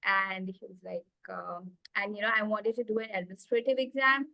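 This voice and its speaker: A woman's voice: medium pitch, fairly even intonation, brisk, vocal fry, sharp tone, no room sound, rough recording. A woman in her thirties with an Indian accent, a guest telling her life story eagerly over a video call, with many fillers. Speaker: guest, executive coach. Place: small room indoors.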